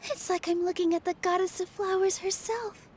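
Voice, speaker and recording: High, sweet young dancer. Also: female voice, fairly even intonation, normal speed, a little mellow. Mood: sweet, admiring. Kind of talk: video game voice acting.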